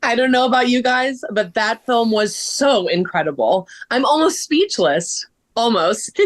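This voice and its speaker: A woman's voice: a little high, animated, unhurried. A woman playing a bubbly, slightly vain young woman with a gushing, dramatic manner in a table read. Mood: awed, playful.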